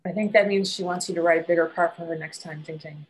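A woman's voice: lowish voice, fairly even intonation, fast talker, slight background noise, low-quality recording. A woman in her thirties, an actor, giving casual answers on a video call. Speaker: actor in a table read.

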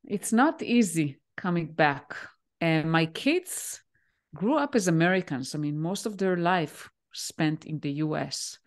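A woman in her forties from Israel, a native Hebrew speaker, answering interview questions on a video call with a headset, pausing often with fillers. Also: low-pitched, expressive. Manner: thoughtful, pauses with many "uh" fillers. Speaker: guest, leadership coach. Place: home room, indoors.

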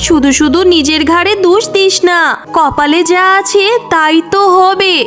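A woman plays a sympathetic village auntie in a children's cartoon. Her voice is very high, consoling and resigned, over faint music. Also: normal speed, fairly bright tone. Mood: sorrowful, consoling.

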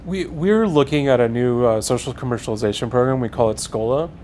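A man with a mid-pitched voice explains in corporate terms, pausing on a few fillers. He is on a studio panel, with a faint rumble behind. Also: darkish tone. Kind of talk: panel discussion.

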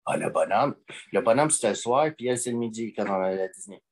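A man's voice: on the low side, animated, fast, slightly rough. A man, calling in and talking in hesitant, rambling stretches full of fillers. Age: late 40s. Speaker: caller. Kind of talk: call-in conversation.

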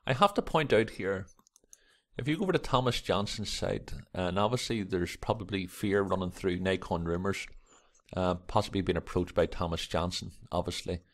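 Man, croaky morning voice, very low pitch, rambling vlog host. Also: normal speed. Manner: rambling, reads articles aloud with many fillers. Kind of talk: casual screen-recorded commentary.

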